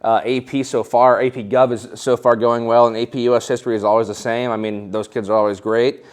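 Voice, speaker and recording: Man, young adult, from colorado, teacher, casual interview, relaxed and plain-spoken. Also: medium pitch, a little fast, smooth.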